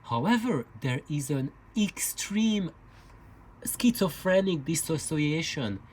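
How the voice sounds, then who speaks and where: A man's voice: fairly high pitch, lively intonation, takes it slowly, darkish tone, husky, rough recording. A man giving an informal explainer talk in a hesitant, meandering way, often repeating his words. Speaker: video presenter on loudspeaker building.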